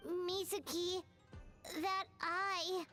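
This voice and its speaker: A woman voicing a small, eager, childlike creature companion in a video game, with a squeaky voice. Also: flat delivery, drawn-out words, pushed and bright, faint music behind, full character voice. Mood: puzzled.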